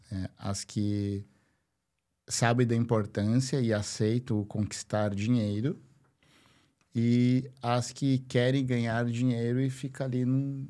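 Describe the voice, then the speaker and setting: Man, halting conversational host. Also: medium pitch, darkish tone. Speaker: interview host. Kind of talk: casual conversational interviewing.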